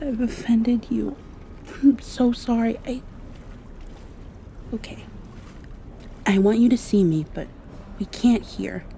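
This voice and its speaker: A woman's voice: medium pitch, slight background noise. A woman playing a shy, insecure half-mosquito vampire girl in an ASMR roleplay, hesitant and confiding. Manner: hesitant, soft-spoken, confiding.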